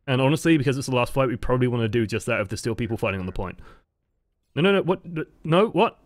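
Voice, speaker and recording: A man's voice: medium pitch, animated, quick pace, no echo. A man with a dry voice, giving casual, explanatory coaching commentary over gameplay footage. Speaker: game coach.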